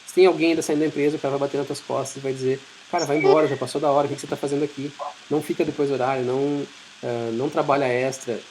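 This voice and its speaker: A Brazilian man gives an informal talk in a medium-pitched voice, explaining things conversationally. His Brazilian Portuguese accent comes through over a faint noisy hiss in the recording. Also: little pitch movement, racing. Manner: conversational, explanatory, acts out short imagined dialogues. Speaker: IT professional giving a talk. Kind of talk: informal presentation.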